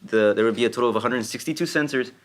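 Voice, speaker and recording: A man's voice: medium pitch, rapid-fire. A teenage boy from Brownsville, Texas, explaining costs and figures in a student presentation, with some hesitations. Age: teens. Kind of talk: rehearsed presentation.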